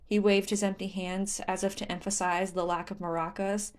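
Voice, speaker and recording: A woman's voice: mid-range voice, flat delivery, normal speed. A woman reading scripted story narration as the narrator of a fan fiction audiobook.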